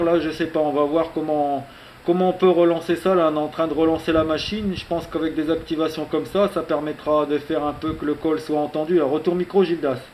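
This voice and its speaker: A man's voice: on the high side, a little mellow, poor audio. A middle-aged man chatting casually as a radio operator, in a conversational way dotted with little tag words. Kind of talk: casual radio contact chat. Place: radio station in Morocco. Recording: faint background hiss on radio recording.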